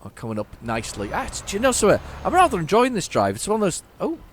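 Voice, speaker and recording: A man's voice: high voice, huge pitch swings, racing, a little hiss or hum. A man giving casual, rambling gameplay commentary, often correcting himself. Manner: rambling, self-correcting, chatty. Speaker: gaming channel host.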